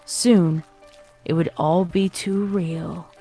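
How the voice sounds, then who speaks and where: A woman's voice: fairly low pitch, steady tone, takes it slowly, warm tone, soft background music. A woman reading a scripted horror fan fiction story as its narrator.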